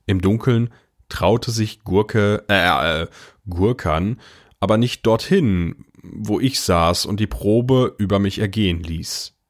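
Audio drama casting: man as deep-voiced older brother, first-person narrator with dry, understated wit. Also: slow. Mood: wry. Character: wry, unflappable older brother narrating his story.

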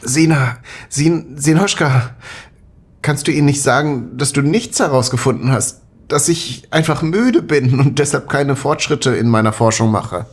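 Casting: actor as cautious male scientist for radio thriller, unhurried, rough-breathed, weary and unsure. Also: medium pitch. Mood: alarmed pleading. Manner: slow, hesitant, questioning. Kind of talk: German audio drama acting.

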